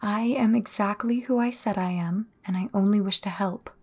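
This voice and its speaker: A woman playing a cryptic, foreboding seer in an audio roleplay, with a husky, breathy voice and measured, earnest delivery. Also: mid-range voice, a little mellow, close to an everyday voice. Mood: earnest, grave. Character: cryptic, foreboding female seer offering grave warnings.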